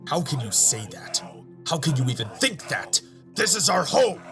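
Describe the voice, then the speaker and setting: A man playing an excitable, skeptical robot scholar in an audio drama, emphatic and questioning. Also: a little high, normal speed, gravelly, music in the background. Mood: indignant.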